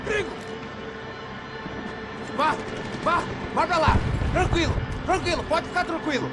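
High-pitched, frantic Brazilian gunman. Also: moderate pace. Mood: furious. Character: agitated, hostile young Brazilian gunman. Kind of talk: video game cutscene dialogue. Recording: very loud music over voice.

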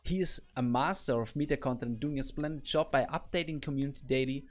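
A man's voice: medium pitch, brisk, faint music behind. A man in his thirties, a gaming coach, talking through a game tutorial in an upbeat, explanatory way from a home office on a studio mic. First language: German. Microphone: boom arm studio mic.

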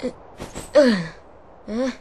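A man's voice: very high-pitched, very slow, a little mellow, slight background noise, full character voice. An actor playing a spirited, confused teenage boy hero in a video game. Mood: groggy. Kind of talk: video game cutscene acting. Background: game music.

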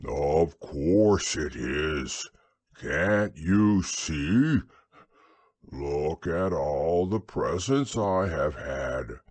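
Man doing a gloomy old donkey, low drawn-out voice, dryly sarcastic, husky. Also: very animated, a little mellow, no room sound, full character voice. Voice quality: low, slow, drawn-out sighing voice.

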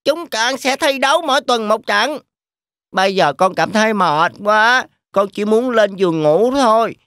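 High-pitched earnest little cartoon goat. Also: female voice, expressive, measured pace, a bit bright, dry recording, clean studio sound. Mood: tired, pleading. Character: young kid goat, earnest, a bit worried.

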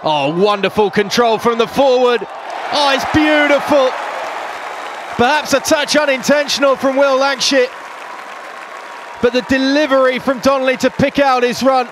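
Male live football commentator, excited and very high-pitched, over clearly audible stadium noise. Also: moderate pace, pauses often. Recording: noisy stadium with crowd behind. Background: crowd noise.

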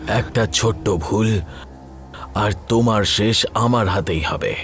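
Man acting a gloating dark sorcerer over music. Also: fairly low pitch, takes it slowly. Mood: gloating threat. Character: menacing male dark sorcerer master, boastful and taunting. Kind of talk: animated horror cartoon dialogue. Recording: loud music under the voice.

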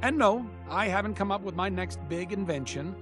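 A man's voice: sits high, normal speed, over music, close to an everyday voice. A man playing an eccentric inventor in a video game, narrating an epilogue in a reflective storytelling manner. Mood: reflective, satisfied. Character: eccentric male inventor looking back on his adventure.

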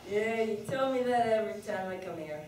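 A woman's voice: medium pitch, animated, brisk, darkish tone, a little hiss or hum, lightly acted. A woman playing a young commuter who politely brushes off a creepy man, awkward and giggly, on a small stage. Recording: echoey theater from far off.

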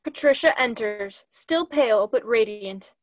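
A woman's voice: a little high, expressive, a little slow. A young woman reading stage directions as scripted narration. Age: young adult. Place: room at home, video call.

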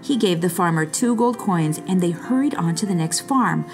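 Animated woman reading a storybook. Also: lowish voice, moderate pace, soft background music. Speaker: storybook reader. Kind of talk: expressive children's read-aloud narration.

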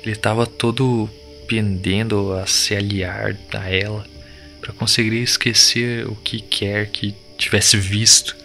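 An actor playing a shaken, defiant village boy in an audio drama, speaking in urgent, halting slang. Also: lowish voice, flat delivery, creaky, pushed and bright, faint music behind, full character voice. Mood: grim, urgent. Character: shaken, defiant village boy urging his friends to flee.

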